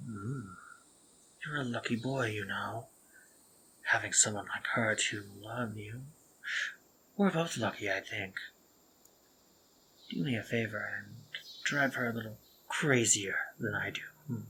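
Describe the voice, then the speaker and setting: A man voicing a sardonic but tender spider-monster man, speaking in a soft, breathy half-whisper in an intimate audio roleplay. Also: on the low side, measured pace, pauses often, a little hiss or hum, low-quality recording. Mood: wry, fond. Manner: gentle, dry and teasing, intimate.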